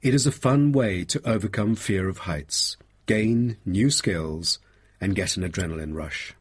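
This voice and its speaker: A man playing an informative, welcoming national park tour guide in a measured, scripted talk for a listening test. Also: sits low, slightly rough.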